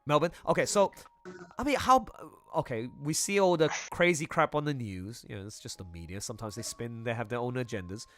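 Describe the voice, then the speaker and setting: A man's voice: mid-range voice, expressive, a little fast, fairly bright tone, no room sound. A man hosting a casual group chat on a livestream, often jumping in and repeating his phrases. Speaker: livestream host.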